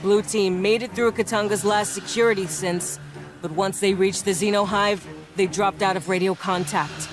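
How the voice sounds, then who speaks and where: An actor playing a tough, foul-mouthed marine squad leader in a video game, giving terse, commanding orders. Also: male voice, high-pitched, fairly even intonation, moderate pace, close to an everyday voice. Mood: grim, commanding. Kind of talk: scripted video game dialogue. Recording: loud music behind the voice.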